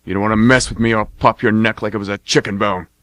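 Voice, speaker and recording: A deep-voiced, swaggering drunken veteran and mercenary, acted by a man for a radio play. He taunts and threatens in folksy slang, bragging like a hard man. The sound is close and dry. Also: fairly even intonation, quick pace, a bit bright, dry recording, close to an everyday voice. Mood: menacing, angry. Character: menacing, drunken brother, boastful war veteran turned mercenary. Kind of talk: amateur radio drama acting.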